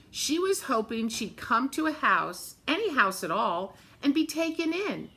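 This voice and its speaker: Bright-voiced woman reading to children, unhurried. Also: on the high side. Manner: expressive, dramatic, addresses young listeners directly. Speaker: story-time reader for children. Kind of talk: read-aloud children's storytelling with chatty asides.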